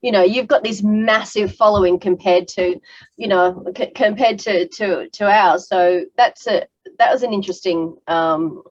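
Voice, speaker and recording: A woman co-hosts a relaxed interview with halting, filler-heavy phrasing. Her voice comes through muffled and dry, as over a video call. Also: mid-range voice, no echo. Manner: hesitant, friendly, many fillers. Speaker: co-host. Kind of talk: casual interview chat.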